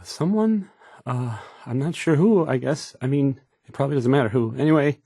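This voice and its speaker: Super awkward shy young radio host from a game, stammers and second-guesses everything, dry studio sound. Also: male voice, medium pitch, normal speed, creaky, dark tone, slightly rough, no echo. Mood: flustered, unsure. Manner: stammering, hesitant, self-doubting, trailing off. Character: painfully shy, nervous, awkward male radio DJ. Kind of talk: video game character acting.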